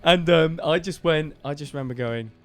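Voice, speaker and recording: A man with a booming voice, co-hosting a casual band podcast and telling a story in a rambling way with many fillers and restarts. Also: moderate pace, dry recording. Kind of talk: casual chat.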